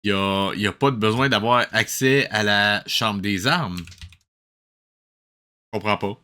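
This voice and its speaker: A man's voice: lowish voice, unhurried, sharp tone. Exasperated Québécois man reviewing a cartoon. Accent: Québécois French. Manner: exasperated, incredulous, self-mocking. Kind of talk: sarcastic reaction commentary.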